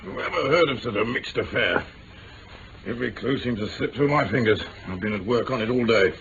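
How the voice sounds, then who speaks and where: An actor with an English accent playing a gruff, stubborn police inspector, blunt and exasperated, in mid-century radio drama style. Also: male voice, mid-range voice, expressive, brisk, fairly bright tone. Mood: disgruntled. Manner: grumbling, blunt. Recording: dull, hissy old radio recording.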